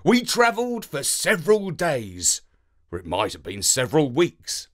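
A man voicing an excitable, loving, dramatic space dog who narrates a children's story with theatrical enthusiasm. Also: on the high side, measured pace. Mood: storytelling.

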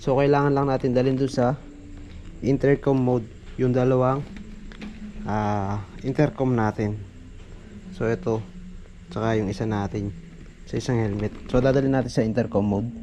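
A man's voice: medium pitch, steady tone, normal speed, pauses often, muffled recording. A man with a Filipino accent gives a casual hands-on product demo as a reviewer, informally addressing his viewers. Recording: noisy amateur recording with background ambience.

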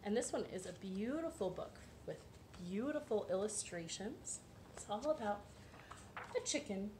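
Young female storytime host, expressive and playful, highly varied pitch, recorded at home with some hiss. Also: normal speed. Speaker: children's librarian storytime host. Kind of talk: children's storytime read-aloud. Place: living room at home. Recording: roomy echo, some background hiss.

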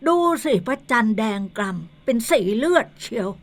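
A woman's voice: on the high side, animated, normal speed. A scolding village woman. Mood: pressing. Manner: scolding, pressing. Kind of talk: Thai radio ghost drama.

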